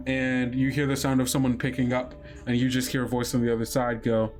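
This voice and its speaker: A man's voice: medium pitch, completely flat delivery, a little fast. A man working as game master, narrating a tabletop roleplay in an informal, improvised way.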